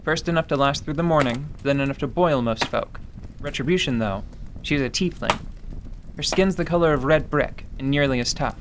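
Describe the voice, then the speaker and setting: A man's voice: fairly high pitch, normal speed, background noise. Man narrating a fantasy story. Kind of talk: scripted dramatic narration. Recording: music underneath.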